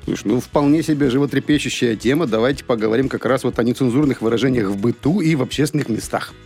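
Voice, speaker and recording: A male morning radio host with a hoarse, cold-roughened voice, chatting and joking from the studio. His pitch sits right in the middle, and his talk runs loose and friendly. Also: fast talker, darkish tone, soft background music. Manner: jokey, chatty. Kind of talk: casual radio banter.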